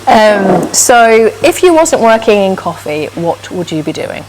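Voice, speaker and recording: A woman's voice: medium pitch, sing-song, measured pace, noisy background. A woman from Hull with a Hull accent, interviewing her business partner in a casual, teasing way, with lots of tag questions. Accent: Yorkshire (Hull). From Hull, England.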